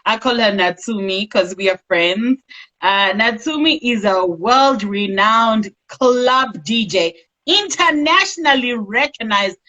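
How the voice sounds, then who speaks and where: A woman hosting an interview, playful and teasing, firing quick follow-up questions at her guest. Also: a little high, animated, pushed and bright. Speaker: host. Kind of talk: casual interview chat.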